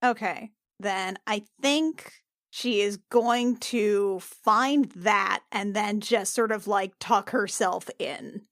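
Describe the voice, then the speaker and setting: A woman's voice: mid-range voice, takes it slowly, a bit bright, no echo, studio quality. A woman playing a tabletop game, talking informally and hesitantly as she thinks aloud and describes her character's actions. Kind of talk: casual improvised game talk.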